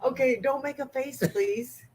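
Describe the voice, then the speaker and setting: A woman's voice: sits high, steady tone, a little slow, slightly rough. Chatty, giggly makeup artist woman. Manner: chatty, giggly, gently bossy. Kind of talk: casual makeup demonstration.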